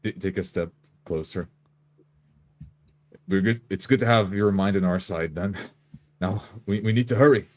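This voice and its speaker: A man roleplays at a tabletop game in a lowish voice on a close, dry microphone. He talks in character, urgent and directing, handing out short orders. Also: moderate pace, long pauses, darkish tone, no room sound.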